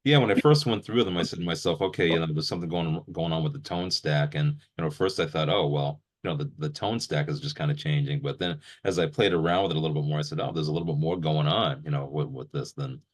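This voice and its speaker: Really deep voiced guy doing a casual interview, agreeable, repeats himself a bit. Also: quick pace, few pauses. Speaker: interviewer, gear show host.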